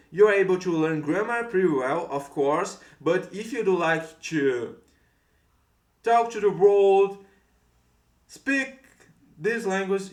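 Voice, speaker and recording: A man's voice: high voice, roomy sound. A man from Brazil with a Brazilian Portuguese accent, a native Portuguese speaker, giving earnest advice on learning English and repeating his encouraging phrases. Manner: earnest, repetitive coaching phrases. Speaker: English tutor. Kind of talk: motivational talk to camera.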